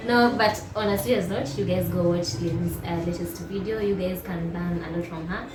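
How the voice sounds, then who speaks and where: A young woman, a native Swahili speaker, chats casually and laughs easily, stumbling and repeating words as she talks. She sounds some way from the mic in a reverberant room, with a steady layer of noise in the background. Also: fairly low pitch, normal speed. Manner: warm, laughs often, repeats words while searching for them. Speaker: co-host. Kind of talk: casual chat. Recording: roomy, distant mic, noisy.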